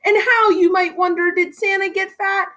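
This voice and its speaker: A woman with a high voice reads a storybook aloud to children. Her delivery is expressive and theatrical, leaning into the rhymes like a practiced school reading teacher. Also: normal speed. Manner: animated, theatrical emphasis on rhymes.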